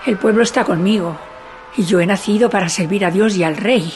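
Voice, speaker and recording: A woman's voice: mid-range voice, a little fast, a little mellow, faint music behind, natural voice. An actress playing a dignified, grieving queen who recalls her youth in a solemn, literary radio drama monologue. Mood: resolute, wistful.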